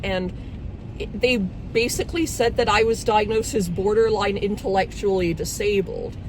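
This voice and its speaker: A young woman from Scotland telling her own story in a casual vlog, hesitant, with many "um"s and restarts, speaking into a phone while in a car in traffic. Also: medium pitch. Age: young adult. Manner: hesitant, frequent "um" and "basically", self-correcting. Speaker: vlogger. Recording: loud road and traffic noise in a car. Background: vehicle and traffic noise.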